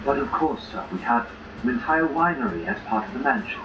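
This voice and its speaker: A man's voice: medium pitch, fairly quick, lightly acted. A man voicing a polite, obedient ghostly mansion servant with a formal, deferential manner. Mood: courteous. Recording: roomy distant mics with noisy background audio.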